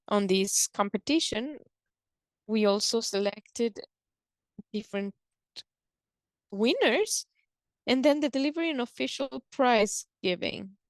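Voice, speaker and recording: A woman presenting and explaining in a measured, halting way, pausing between short phrases. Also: a little high, dry recording. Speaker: presenter on a conference call.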